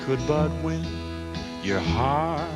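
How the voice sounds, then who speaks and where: A man's voice: on the high side, animated, takes it slowly. A man singing an old-time country love song, accompanied by guitar and dobro. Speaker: singer.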